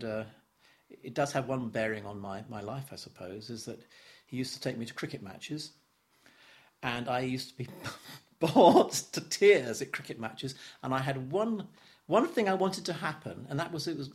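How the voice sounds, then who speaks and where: A man's voice: medium pitch, expressive, normal speed. A man in his seventies from London, giving an oral history interview, reflective and stop-start, repeating words and chuckling as he remembers. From London, England. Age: 70s.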